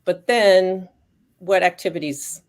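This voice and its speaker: A woman speaking as a guest expert on aging dogs, explaining research in a thoughtful, casual way with frequent pauses and restarts. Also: on the low side. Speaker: guest expert on canine cognitive decline.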